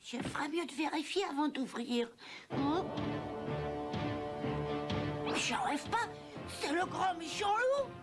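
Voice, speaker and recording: An actress playing a proud, childlike little pig in a cartoon, boastful and expressive. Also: female voice, high-pitched, a little slow. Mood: wary, alarmed. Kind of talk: cartoon dub acting. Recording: loud music behind the voice.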